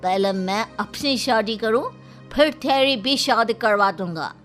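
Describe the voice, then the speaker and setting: A woman's voice: fairly high pitch, lively intonation, a little slow, a bit bright, soft background music, pitched below the performer's own voice. A woman voicing a bossy, selfish young Chinese man, the brother in a cartoon story.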